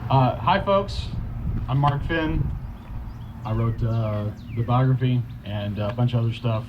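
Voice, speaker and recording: Male reader, medium pitch, informal live introduction, muffled audio with clear background noise. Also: unhurried. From United States. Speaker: poetry reader.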